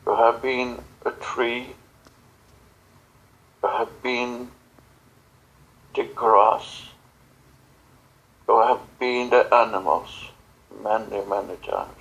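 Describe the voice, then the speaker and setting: Slow, halting man channeling a spiritual message. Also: medium pitch, steady tone, a bit bright, rough recording. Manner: halting, solemn, broken phrasing. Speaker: channeler in guided visualization session.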